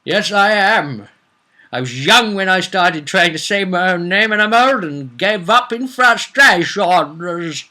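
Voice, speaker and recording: A man voicing a weary old god with a very long name, in an emphatic, drawn-out comic manner. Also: high-pitched, expressive, bright, forceful tone, pitched above the performer's own voice, full character voice. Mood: frustrated.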